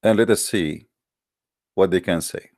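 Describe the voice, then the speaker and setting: A man's voice: low voice, a little slow, a little mellow, dry recording, studio quality. A man, an English speaker, hosting a live call-in debate show in a hesitant but challenging way, with many fillers. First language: Arabic.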